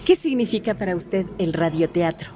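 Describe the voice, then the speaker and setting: A woman's voice: fairly low pitch, animated, moderate pace, a crowd in the background. A woman hosting a formal broadcast interview. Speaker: interviewer, programme host. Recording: clear background noise, ambient sound.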